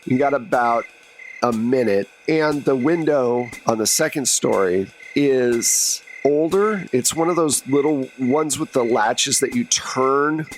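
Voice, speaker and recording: A man's voice: mid-range voice, takes it slowly, a little hiss or hum, poor audio. A man running a tabletop roleplaying game, narrating in a conversational, improvised way as game master. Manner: conversational, second-person storytelling.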